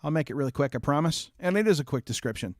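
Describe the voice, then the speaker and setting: A man's voice: fairly high pitch, expressive, quick pace, no echo. A man hosting a game stream in casual, informal chat with jokey asides, speaking close to the microphone. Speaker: streamer and host.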